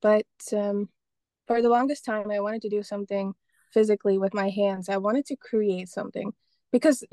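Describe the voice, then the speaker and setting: A woman's voice: medium pitch, fairly even intonation, moderate pace, warm tone, dry recording. A young woman from Estonia, a painter and photographer, talking casually as an interview guest and often restarting her sentences. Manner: rambling, many restarts and fillers.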